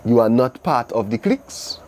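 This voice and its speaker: A man's voice: mid-range voice, very animated, moderate pace, a little mellow, slight background noise. A man with a Trinidadian accent hosting a casual, conversational interview and putting questions to his guest. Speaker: interviewer, show host.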